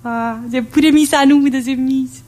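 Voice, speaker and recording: Actress playing a prison inmate, woman, crude, gossipy, highish voice, stage monologue. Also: steady tone, normal speed, lightly acted. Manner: chatty and crude, veering from loud outbursts to low muttering.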